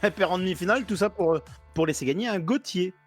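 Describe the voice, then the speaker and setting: Man, podcast co-host, husky tone, slightly high pitch, rambling, laid-back. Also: quick pace, faint music behind. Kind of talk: casual podcast chat.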